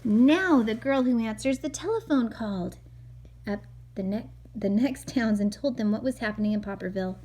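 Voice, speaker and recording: A woman's voice: on the high side, moderate pace, mellow. A woman reading a picture book aloud to a child as a mother, expressively, with chatty asides and questions.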